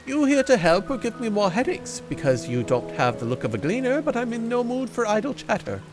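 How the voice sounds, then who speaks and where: A man's voice: sits high, very animated, fairly quick, over music, voice pushed up. A man voicing a harried, grumpy male overseer who speaks curtly and impatiently. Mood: irritable.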